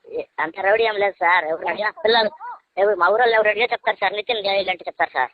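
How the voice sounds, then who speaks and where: Very fast young man on tinny phone. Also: high voice, a little hiss or hum. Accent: Rayalaseema Telugu. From Andhra Pradesh, India. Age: teens to young adult. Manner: deferential, apologetic, short answers. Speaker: prank call victim, student. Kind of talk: phone conversation. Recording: narrow, tinny phone line.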